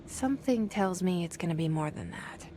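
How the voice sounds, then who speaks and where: An actress playing a determined young woman, newly made director, who speaks in a calm, dry and understated way. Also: fairly low pitch, normal speed, noisy background, lightly acted. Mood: wry.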